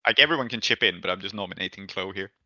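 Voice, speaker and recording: Bright-voiced man hosting, dry dull recording. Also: low voice, very fast, no room sound. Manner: inquisitive, prompting others with questions. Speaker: host and game facilitator. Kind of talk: casual tabletop roleplay discussion.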